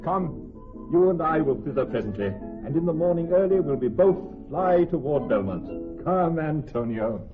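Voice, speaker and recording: An actor playing an earnest, courteous young Venetian gentleman, speaking formal, emphatic verse in a classical radio drama. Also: a little high, big pitch swings, normal speed. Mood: urgent. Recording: tinny narrow-band radio recording, faint music.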